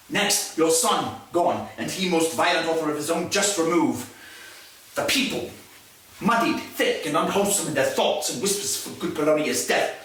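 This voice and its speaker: An actor playing a scheming middle-aged king, speaking verse in a measured, weighty classical stage manner. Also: fairly high pitch, a bit bright. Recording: echoey stage recorded from far off.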